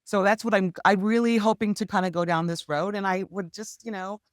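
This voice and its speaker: A woman speaking as a podcast guest, telling her story in an earnest, heartfelt and animated way. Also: medium pitch, fairly quick, no room sound, studio quality. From United States. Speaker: podcast guest, hypnosis healer. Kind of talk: candid conversational storytelling.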